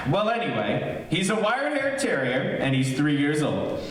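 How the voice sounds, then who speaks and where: A man's voice: a little high, huge pitch swings, heavily acted. Man acting a glib radio broadcaster, very echoey. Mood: jokey, nervy. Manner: chatty, glib, halting asides. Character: jokey, skeptical male radio broadcaster on a ghost hunt. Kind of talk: 1940s radio acting, live on-location commentary. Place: echoey hall, stage-style radio play performance. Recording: distant, very echoey room with faint noise.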